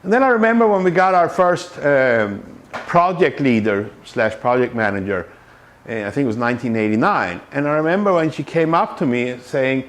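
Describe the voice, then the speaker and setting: A man's voice: mid-range voice, expressive, normal speed. Man giving a casual talk. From Sweden. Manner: conversational, frequent fillers and restarts. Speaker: Scrum trainer. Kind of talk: conference talk. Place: meetup room.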